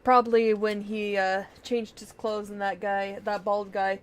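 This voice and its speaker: A woman's voice: medium pitch, level tone, measured pace, a little hiss or hum. A woman chatting casually as she co-hosts a gaming livestream. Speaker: livestream co-host. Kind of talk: casual gameplay chat. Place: home office.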